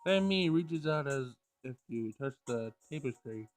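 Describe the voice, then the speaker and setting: A man's voice: mid-range voice, expressive, measured pace, faint music behind. A man reading a visual novel aloud as he plays, sometimes stumbling over words and rereading lines. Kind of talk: Let's Play read-aloud of game text.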